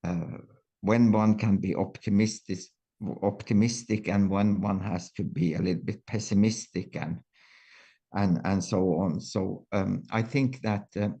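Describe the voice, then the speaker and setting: Slow gravelly older man, halting. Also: lowish voice, fairly even intonation, mellow. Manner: halting, many fillers and restarts. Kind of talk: reflective interview answers.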